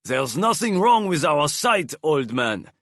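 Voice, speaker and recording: Male narrator doing a shrill, loud guard for an audiobook; suspicious, demanding, dry read. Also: very animated, slow pace, dry recording, heavily acted. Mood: scornful.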